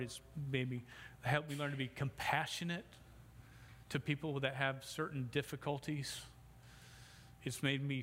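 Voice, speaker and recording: A man's voice: medium pitch, fairly bright tone. Middle-aged male pastor with a stutter, preaching into a church mic, long hall echo. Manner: stutters, with repeated sounds and restarts. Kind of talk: sermon. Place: church sanctuary. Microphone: sound system microphone. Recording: long hall reverb, faint background noise.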